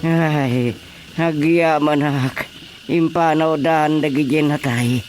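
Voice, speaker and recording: A man with a Filipino accent playing a fretful, excitable character in a radio comedy drama, halting, repeating himself and exclaiming. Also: fairly high pitch, over music.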